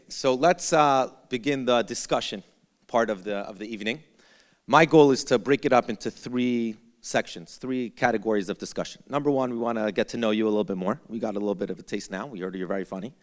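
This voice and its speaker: A man's voice: on the low side, quick pace. A man moderating a live conversation informally, in a jokey way, often doubling back on his phrases. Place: event hall before a live audience. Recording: echoey hall sound.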